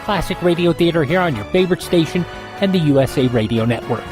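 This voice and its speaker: A man's voice: on the high side, quick pace, music in the background. Man hosting a classic radio show. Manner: relaxed and conversational, with light fillers. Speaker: host. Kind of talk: radio show host announcements.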